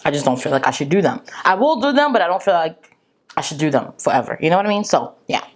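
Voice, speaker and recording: A woman's voice: sits low, big pitch swings, fast. A young woman, a French native speaker, chatting sassily with viewers and calling them girl and honey. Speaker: beauty vlogger. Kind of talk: casual chat answering viewer assumptions.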